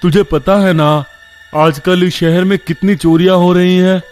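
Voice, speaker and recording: A man voicing a worried, excitable young man who questions urgently in a horror cartoon story. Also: high-pitched, unhurried, clean tone, faint music behind, pitched above the performer's own voice. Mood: alarmed, scolding.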